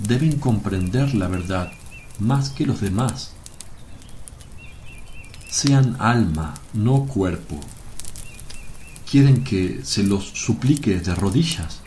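An actor playing a gentle, grave rabbi and healer, solemn and measured, in a radio drama. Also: male voice, lowish voice, slight background noise. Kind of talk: Spanish radio drama acting.

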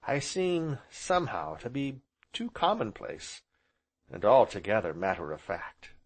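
A man voicing a placid, dreamy gentleman in a storybook, speaking with slow, grave courtesy. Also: on the low side, very animated. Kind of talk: audiobook character voice.

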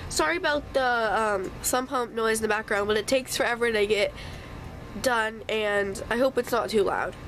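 A woman with a congested, stuffy nose chatting casually through a home craft tutorial, with apologetic asides as she works. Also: a little high. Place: home craft table, indoors. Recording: faint sump pump hum behind.

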